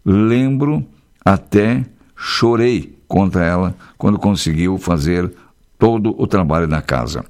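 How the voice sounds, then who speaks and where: A man's voice: low voice, animated, slow pace, darkish tone. Chatty man reading local news. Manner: chatty, folksy commentary between read news items. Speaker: radio news host.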